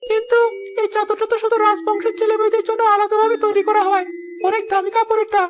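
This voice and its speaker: A woman voicing a gentle, sheltered young royal child in a fairy-tale cartoon. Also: very high voice, level tone, fast talker. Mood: gentle, earnest. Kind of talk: animated fairy-tale voice acting. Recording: music behind the voice. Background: background music.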